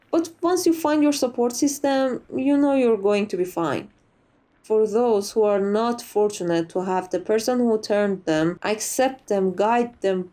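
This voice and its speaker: A woman's voice: mid-range voice, warm tone, close to an everyday voice. A woman playing a sociable, gracious vampire who chats in a genteel, slightly formal way, as an ASMR roleplay. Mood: earnest, reassuring. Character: sociable, chatty, gracious old female vampire being interviewed.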